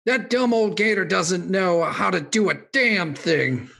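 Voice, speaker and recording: An actor playing a greedy, menacing swamp villain with a raspy voice in a radio play. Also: medium pitch, a little slow.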